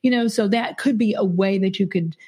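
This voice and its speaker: A woman's voice: medium pitch, brisk, dark tone, slightly rough. A woman executive talking as an interview guest in an earnest, hesitant way with many fillers. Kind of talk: casual interview chat.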